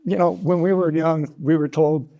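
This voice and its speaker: An American man in his sixties with a mellow, relaxed tone set a little above average in pitch. He talks as an interview guest, thoughtful and reflective, often pausing mid-phrase as he finds his words. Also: vocal fry, highs cut off. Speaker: interview guest, author and storyteller.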